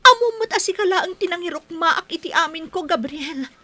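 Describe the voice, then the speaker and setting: A woman's voice: very high voice, animated, a little fast, pushed and bright, no room sound, heavily acted. An actress playing an earnest young girlfriend, wounded and proud, in a radio drama with an Ilocano Filipino accent. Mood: hurt, pleading.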